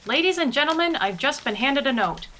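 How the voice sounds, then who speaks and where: A woman's voice: sits high, expressive, brisk, bright, forceful tone. A woman playing a flustered, blunt female news announcer in a 1930s radio spoof, emphatic and indignant, on a noisy recording. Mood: flustered, formal. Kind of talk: 1930s radio broadcast parody acting.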